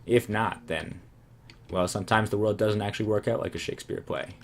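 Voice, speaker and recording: Low male let's-play host, wandering self-amused musings, voice turning hoarse, faint keyboard noise underneath. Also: steady tone, brisk. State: voice going hoarse. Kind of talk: casual gameplay commentary.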